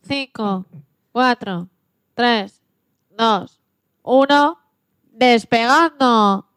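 A woman's voice: a little high, drawn-out words, a bit bright, smooth, no room sound. A woman playing an impassive rocket computer in a radio story, announcing commands word by word. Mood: measured, commanding.

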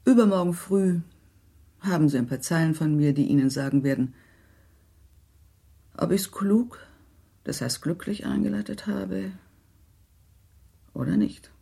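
An actress playing a gracious, tactful minister's wife in a German radio play, speaking in a formal, measured, diplomatic way. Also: female voice, on the low side, vocal fry, mellow, slightly rough, full character voice. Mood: measured, reassuring.

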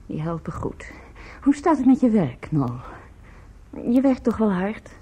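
Middle-aged mother, husky, unhurried, bright voice with lively pitch swings, wistful, radio play. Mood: wistful, weary. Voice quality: husky, breathy. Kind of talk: radio drama acting.

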